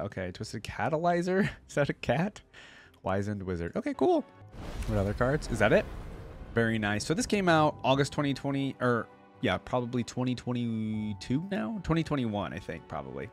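A man's voice: medium pitch, big pitch swings, moderate pace, soft background music. A man, around his thirties, giving casual, chatty reaction commentary and thinking aloud informally into a close desk microphone. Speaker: reaction video creator. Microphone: close desk microphone with headset.

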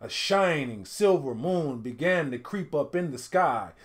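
A middle-aged man reading a picture book aloud in an animated, dramatic storytelling style, pausing to speak to listeners. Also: a little high. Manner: expressive, dramatic swells and hushed asides to listeners. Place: indoor home studio room.

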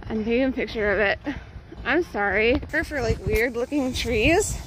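High-voiced woman vlogging on a walk. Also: normal speed, background noise. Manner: chatty, rambling, a bit out of breath from walking. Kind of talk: casual walking vlog. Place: outdoors on a suburban path. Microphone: phone held at arm's length.

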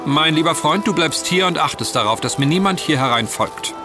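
An unruffled gentleman adventurer with dry wit acted by a man in an audio drama. His mid-pitched voice has lively melody over clear music. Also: brisk, close to an everyday voice. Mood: dryly confident. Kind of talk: German audio drama acting. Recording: music behind the voice.

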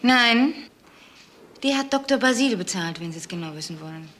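An actress playing a defensive, prickly nurse being questioned in a radio crime drama, answering curtly. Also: female voice, mid-range voice, lively intonation, moderate pace, a bit bright, natural voice. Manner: curt, defiant. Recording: faint background noise.

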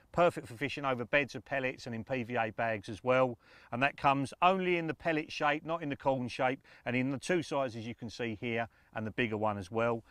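Man, middle-aged, medium pitch, angling presenter, informal, matter-of-fact explaining, dry outdoor sound. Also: no echo. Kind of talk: informal fishing tips to camera. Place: outdoors on a lakeside bank.

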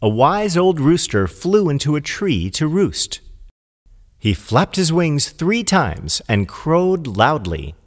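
A man's voice: on the high side, sing-song, slow pace, big-room sound. A man narrating a children's fable as a scripted storyteller.

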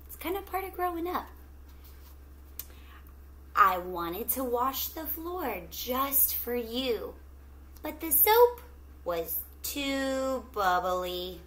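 A middle-aged woman reads a children's book like a teacher in front of a class. She takes her time, stretching words expressively and putting questions to the kids. Her pitch is average, and there is light background noise from a home webcam recording. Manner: expressive, drawn-out storytelling with gentle questions to children. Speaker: teacher reading aloud to children. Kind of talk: children's picture book read-aloud with asides to young listeners. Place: small indoor room at home with plants.

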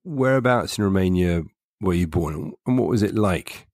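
A man interviewing a guest, asking calm, plain questions. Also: low-pitched, moderate pace, no room sound, clean studio sound.

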